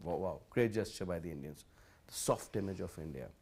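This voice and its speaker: Animated host, lowish voice. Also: male voice, brisk, husky. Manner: animated, interjecting, addresses guests as sir. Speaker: talk show host. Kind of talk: TV panel discussion.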